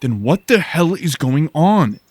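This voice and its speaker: A man's voice: fairly high pitch, very animated, measured pace, a little mellow, dry recording, voice pushed up. A man playing an inquisitive lost explorer in a sci-fi audio drama, speaking informally with emphatic questions. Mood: frustrated. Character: John, frustrated, inquisitive lost male explorer.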